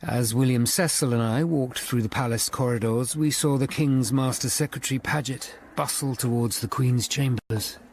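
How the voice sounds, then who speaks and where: A man acting as a grave, observant Tudor lawyer, narrating his investigation in a measured way. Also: mid-range voice, steady tone, darkish tone, close to an everyday voice. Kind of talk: scripted first-person drama narration.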